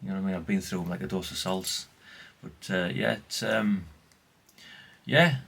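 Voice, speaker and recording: A man's voice: deep voice, moderate pace, creaky, noisy background. A middle-aged man chatting casually and colloquially in a rambling vlog, often tagging questions onto what he says. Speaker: vlogger, radio collector.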